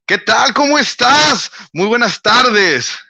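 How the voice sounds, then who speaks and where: A man's voice: very high-pitched, lively intonation, takes it slowly, vocal fry, sharp tone, dry recording. A man from Mexico hosting an online interview, upbeat and full of exclamations. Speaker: host. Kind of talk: animated interview host.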